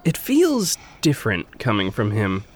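An actor playing an earnest, awkward young man in an audio drama, speaking politely and haltingly. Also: fairly low pitch, wildly swooping pitch, exaggerated voice. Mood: awkward, flustered. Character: earnest, awkward, anxious young male magic user. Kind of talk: naturalistic audio drama acting.

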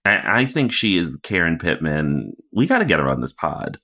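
A man with a low-pitched voice co-hosts a chatty podcast. He talks in a casual, animated, conversational way, bantering about television. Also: moderate pace. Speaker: podcast co-host.

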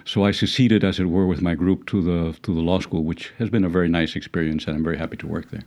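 Low-voiced Dutch-accented middle-aged man. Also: fairly even intonation, fast, darkish tone. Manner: hesitant, many fillers and restarts, wry chuckles. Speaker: legal philosophy professor. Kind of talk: reflective interview talk.

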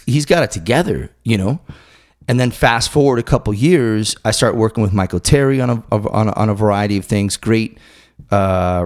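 A middle-aged man with a lowish voice hosts a relaxed conversation. He rambles through his stories, restarting sentences and swearing casually. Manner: loose, profane, rambling storyteller. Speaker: podcast host. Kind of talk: casual interview chat.

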